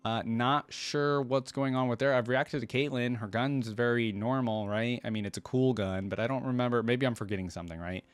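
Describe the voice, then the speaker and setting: A man's voice: medium pitch, steady tone, brisk, hardly any pauses, clear, resonant tone, no echo. A man hosting a reaction video with casual, rambling, enthusiastic commentary.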